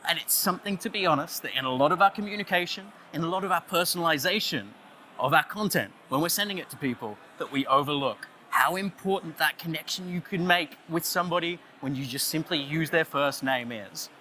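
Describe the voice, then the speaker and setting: Australian man, loud voice, bright tone, slightly high, jokey conference speaker. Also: animated, brisk, a little hiss or hum. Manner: jokey, playful, chatty with the crowd. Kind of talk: lively conference talk with audience banter. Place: conference stage, audience on headphones.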